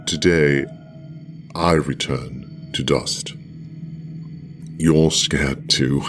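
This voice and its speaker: Man acting solemn chosen citizen of a future world. Bottomless bass, unhurried, formal delivery over music. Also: fairly even intonation, heavily acted. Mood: gently reassuring. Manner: measured, formal, deliberate, halting. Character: solemn privileged man of the future, chosen for sacrifice, addressing the past. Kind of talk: radio play monologue. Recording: music under the voice.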